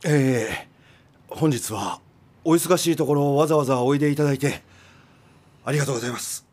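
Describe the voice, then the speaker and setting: A man's voice: medium pitch, normal speed, darkish tone, lightly acted. Male innkeeper played by an actor, radio drama: ceremonious, wordy, courteous, mid-range voice. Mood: formal, wistful. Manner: stiff, ceremonious, polite. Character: long-winded, formal inn owner making a farewell speech.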